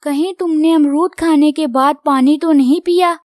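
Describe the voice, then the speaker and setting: A woman's voice: high voice, steady tone, moderate pace, darkish tone, no echo, clean studio sound, lightly acted. A woman voicing a concerned mother crow in a children's cartoon, motherly and questioning. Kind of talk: Hindi children's cartoon dubbing.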